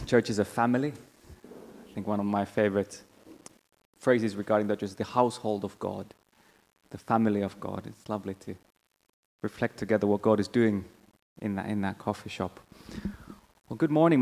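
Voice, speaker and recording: A man preaches in a fairly low voice with a Finnish accent. The recording carries the long reverb of a church hall as he delivers his sermon. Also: vocal fry, a little mellow. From Finland. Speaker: church member preaching, theological college staff. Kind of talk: sermon with scripture reading and prayer. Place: church hall, speaking to a congregation.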